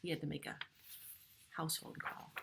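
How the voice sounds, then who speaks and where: A woman's voice: moderate pace, pushed and bright, a little hiss or hum. A middle-aged woman reads a picture book aloud to young children, adding simple explanatory asides. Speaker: preschool storyteller reading aloud.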